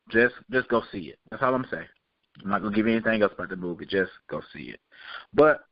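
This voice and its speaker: A man's voice: medium pitch, no echo. Guy hosting a podcast, opinionated, says stuff twice for emphasis, kinda muffled and dry sound. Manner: emphatic, repeats himself for emphasis. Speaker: podcast host. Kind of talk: casual opinionated chat. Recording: dull, muffled lo-fi audio.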